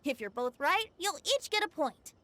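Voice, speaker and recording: A woman's voice: sits high, sing-song, moderate pace, a bit bright, no echo. A woman voicing a gracious, cheerful young event host in a video game, polite and encouraging. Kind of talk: video game character acting.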